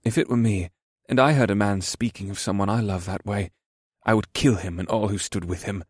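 A proud elf warrior, read by a male narrator talks with deadly calm and no emotion. The voice is on the low side and recorded dry, in a fantasy storybook style. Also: normal speed, dry recording, close to an everyday voice. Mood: calm resolve.

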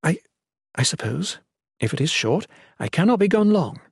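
A male reader takes on a gentle noblewoman of a fantasy audiobook, tender and sorrowful. Her speech is formal and a little hesitant, pitched well up, in a dry recording. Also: normal speed, husky, no echo. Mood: concerned, unsure. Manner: formal, soft-hearted, hesitant.

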